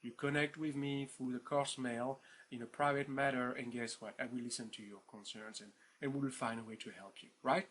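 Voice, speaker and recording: A man with a French accent, speaking at a medium pitch, talks students through the rules of his online course. He speaks in an informal, playful way, with lots of "uh", doubled words, and a "right?" after almost every point. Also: fairly even intonation, a little fast, a bit bright, slight background noise. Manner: chatty, informal, many fillers and repeated words; keeps asking "right?" for agreement. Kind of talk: recorded course introduction to students.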